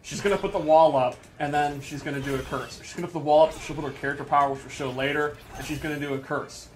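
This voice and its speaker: High-voiced man explaining video game moves. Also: steady tone, fast, a little hiss or hum, rough recording. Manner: explanatory, informal, emphatic. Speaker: game developer presenter on a livestream. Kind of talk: live gameplay demonstration commentary.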